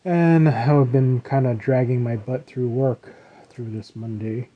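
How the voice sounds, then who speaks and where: A man hosting his own podcast in a casual, rambling solo chat, his voice a little rough from a post-nasal drip. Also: medium pitch, steady tone, a little slow, muffled recording. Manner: rambling, self-correcting, chuckles now and then. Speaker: podcast host.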